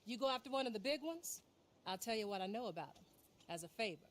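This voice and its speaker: A woman's voice: fairly high pitch, expressive, quick pace, a little hiss or hum, close to an everyday voice. An actress playing a tough female bounty hunter in a western game, with an American accent and a blunt, folksy, sardonic drawl. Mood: brash, confident. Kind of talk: dramatic game cutscene acting. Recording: music under the voice.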